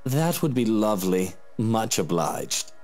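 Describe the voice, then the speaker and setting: Suave, condescending rich husband for a visual novel; lowish, wildly swinging delivery, faint music behind. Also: male voice, very animated, measured pace, darkish tone. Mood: smug, suave. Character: smug, flirtatious wealthy husband.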